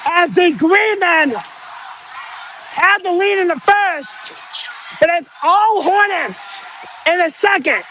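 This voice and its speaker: High-pitched male announcer, hoarse and straining, unhurried excited basketball calls, noisy gym. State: strained, losing his voice. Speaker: amateur play-by-play announcer. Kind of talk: live basketball play-by-play commentary. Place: indoor school gym. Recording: noisy gym with ball bounces.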